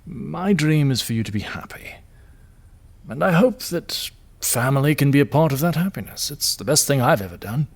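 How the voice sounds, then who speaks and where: An actor playing a middle-aged father and troubled leader, tender and apologetic, speaking hesitantly with gentle wryness in an audio drama. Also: medium pitch, animated, normal speed, vocal fry.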